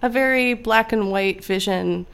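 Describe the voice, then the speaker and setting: A woman being interviewed, explaining history in a measured, lecturing way, often checking in with "right?". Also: mid-range voice, vocal fry, fairly bright tone, smooth. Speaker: guest expert on immigration history.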